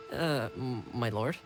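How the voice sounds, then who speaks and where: An actor playing a loyal, good-natured young retainer in a video game, deferential and expressive toward his master. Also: medium pitch, takes it slowly, lightly acted, poor audio. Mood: flustered, admiring. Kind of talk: English video game voice acting. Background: game music.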